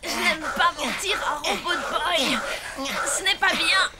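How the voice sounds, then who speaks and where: A woman's voice: very high voice, measured pace, heavy background noise. A woman in a French cartoon dub playing a sensible, conscientious young schoolchild, earnest and reproving.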